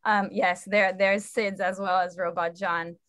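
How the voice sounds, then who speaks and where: Young woman, medium pitch, dry sound. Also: flat delivery, a little slow, a bit bright, no echo. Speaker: play director. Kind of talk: casual, appreciative discussion. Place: indoor room on a video call. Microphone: computer video call.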